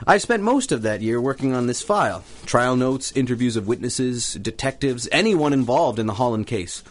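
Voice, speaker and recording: A male actor as an eager newspaper man in a 1930s radio play. His mid-pitched voice swings widely up and down as he chatters on, effusive, polite and keen to please. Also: expressive, moderate pace, natural voice. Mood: earnest, obliging. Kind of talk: 1930s-set radio drama acting.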